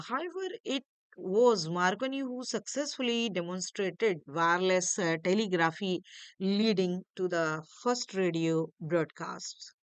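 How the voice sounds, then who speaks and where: A woman reading a scripted academic lecture to learners in halting, deliberate phrases. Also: mid-range voice, clear, resonant tone, no room sound.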